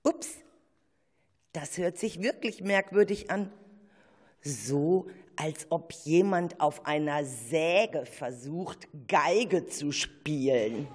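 A woman narrating a children's radio play in an expressive, drawn-out storytelling manner. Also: low voice, husky.

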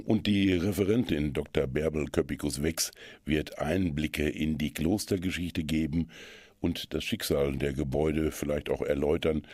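Very deep-voiced male radio presenter. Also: darkish tone, slightly rough, dry recording. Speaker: radio host presenting local culture events. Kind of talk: radio magazine moderation, event listings.

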